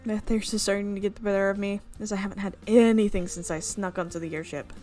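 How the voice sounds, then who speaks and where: A woman's voice: mid-range voice, fairly even intonation, fast talker, darkish tone, soft background music. Chatty young woman reading a visual novel. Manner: chatty, amused asides, laughs at the script. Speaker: visual novel let's player. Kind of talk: reading a game aloud with casual commentary.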